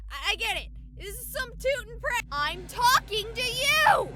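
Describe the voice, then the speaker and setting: High, animated, sarcastic young woman. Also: measured pace, very noisy, exaggerated voice. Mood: angry, rattled. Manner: sarcastic, wisecracking, dramatic. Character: sarcastic young woman ghost-hunting streamer, exasperated and nervy. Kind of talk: video game voice acting.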